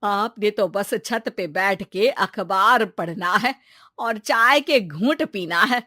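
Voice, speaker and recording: A woman voicing an indignant older mother-in-law with a scolding, dramatic manner in an animated family comedy. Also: medium pitch, takes it slowly, no room sound, clean studio sound.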